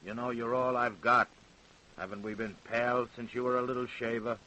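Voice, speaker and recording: A man's voice: medium pitch, level tone, moderate pace, pushed and bright, natural voice, low-quality recording. An actor with an American accent playing a possessive, evasive working-class father in an old-time radio crime drama. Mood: coaxing, controlling.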